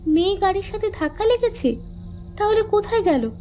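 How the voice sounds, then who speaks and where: A woman playing a bossy, teasing young woman in an animated story, with music in the background. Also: extremely high pitch, brisk, highs cut off. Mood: sceptical. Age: young adult. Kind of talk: Bengali animated story dialogue.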